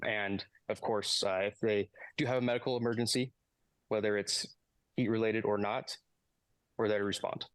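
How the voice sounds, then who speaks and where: Flat-toned man giving interview remarks. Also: on the low side, moderate pace, clear, resonant tone, rough recording. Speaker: emergency responder spokesman.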